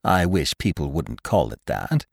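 A man voicing a prim, matter-of-fact female receptionist in a storybook reading, brisk and proper in manner. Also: extremely low pitch, sing-song, creaky, no echo, studio quality, full character voice.

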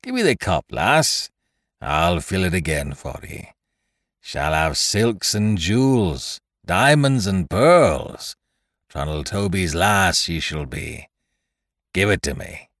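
A man's voice: sits low, expressive, takes it slowly, fairly bright tone, no echo, studio quality, exaggerated voice. A man voicing a brutal, drunken, leering pirate captain with archaic sailor speech in an adventure audiobook. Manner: roaring, maudlin jocularity, archaic sailor speech.

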